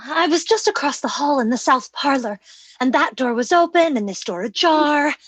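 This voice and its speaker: An actress playing a nervous, anxious sister in an old-time radio drama. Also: female voice, high-pitched, animated, moderate pace. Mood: nervous, hushing.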